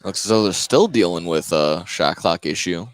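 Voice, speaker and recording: A man doing live play-by-play basketball commentary, a little halting, often repeating and correcting himself. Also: low voice, expressive, no echo. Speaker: play-by-play announcer. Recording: clear gym ambience, bouncing basketballs. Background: basketball game in a gym.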